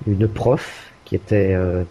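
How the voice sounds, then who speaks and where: A man's voice: deep voice, fairly even intonation, takes it slowly, mellow, husky, poor audio. A man in casual conversation who talks hesitantly, with many restarts and fillers. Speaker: language learner.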